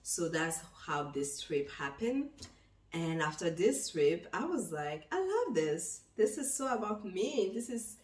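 A low-pitched woman tells her travel story to the camera in a slow, reflective way with many pauses. She sits in a small bright room. The sound is roomy and echoey, since the camera picks her up from across the room. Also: sing-song, distant mic. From Benin, raised in France. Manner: reflective, gestures while talking, many pauses. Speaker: travel vlogger. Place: small bright room indoors, seated in armchair.

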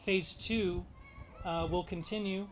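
Man in his thirties, outdoor park speech on a stand mic; halting, filler-heavy delivery. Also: high-pitched, steady tone, slight background noise. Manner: halting, many fillers and restarts. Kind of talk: public speech. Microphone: handheld mic on stand. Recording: faint outdoor ambience.

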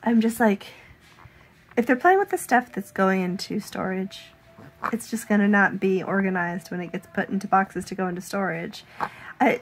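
A woman in her thirties, a mother, chatting to the camera in a casual vlog while losing her voice, sounding frazzled and self-deprecating. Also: medium pitch. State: losing her voice, sore throat. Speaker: vlogger and mother. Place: home and parked car. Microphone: phone held at arm's length.

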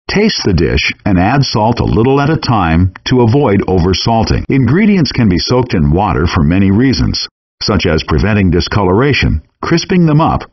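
A man narrates cooking instructions in a calm, measured, instructive manner, his fairly low voice moving with a lively lilt. There is a slight huskiness to his tone, and the game audio sounds dull and lo-fi. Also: slightly rough. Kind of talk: scripted instructional voice-over.

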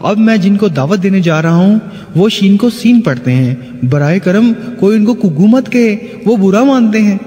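A man's voice: sits high, expressive, moderate pace, mellow. A man with a Pakistani accent playing a mock-grand master of ceremonies in a scripted satirical comedy show. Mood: mock-formal. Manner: mock-grand, formal, storytelling. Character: mock-formal male master of ceremonies introducing politicians. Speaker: show host. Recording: hall reverb with music.

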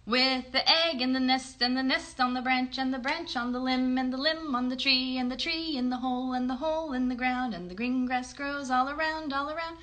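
A woman in her thirties hosting a children's livestream, singing along and chatting to young viewers in an animated, encouraging way. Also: sits high, moderate pace, hardly any pauses. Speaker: children's livestream host and puppeteer. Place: bedroom, indoors. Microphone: phone at about arm's length or a little more.

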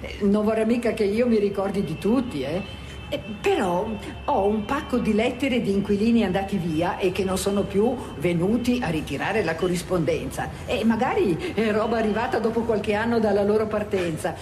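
An actress playing a chatty, obliging building caretaker in a radio drama. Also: female voice, mid-range voice, moderate pace, music in the background, close to an everyday voice. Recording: echoey room, clear background noise, clicks.

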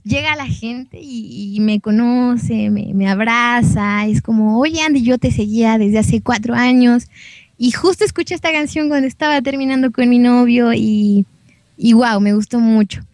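A woman's voice: fairly high pitch, normal speed, a little mellow, dry recording. A woman in her twenties with a central Mexican accent, chatting casually as a guest in a girlish, sentimental way. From Puebla, Mexico. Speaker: guest, singer and voice actress. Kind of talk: casual chat on a gaming show.

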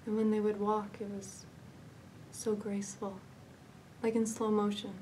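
A woman performing a dramatic monologue as a fragile, haunted young woman, speaking haltingly with hesitant pauses and repetitions. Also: mid-range voice, completely flat delivery, dark tone, recorded from a distance, slight background noise, natural voice. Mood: wistful, hushed.

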